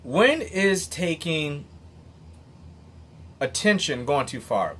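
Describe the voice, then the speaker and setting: A man's voice: fairly high pitch, big pitch swings, slow, a bit bright, slight background noise. A man in his late thirties giving an earnest, blunt motivational monologue, emphatic and sometimes swearing. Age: 30s. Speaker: motivational vlogger. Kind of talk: unscripted commentary monologue.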